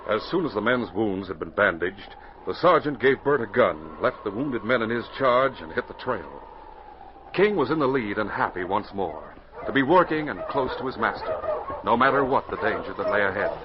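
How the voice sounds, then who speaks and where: A man announcing and narrating in dramatic 1940s radio style, emphatic and carefully enunciated, with a husky voice. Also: fairly low pitch, big pitch swings, moderate pace, background noise. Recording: dull 1940s radio recording.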